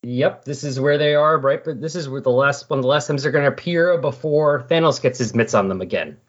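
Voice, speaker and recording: Quick-talking man, casual podcast host. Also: medium pitch, highs cut off. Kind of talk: casual chat about comic books.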